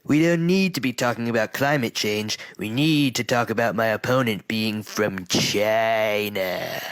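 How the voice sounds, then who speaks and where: A man's voice: fairly low pitch, unhurried, fairly bright tone. A man voicing a boastful, crass virus president in a cartoon, bragging and taunting with repetitive rally-speech phrasing. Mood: defensive, accusing. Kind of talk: parody of political rally speech. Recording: echoey, very noisy rally sound.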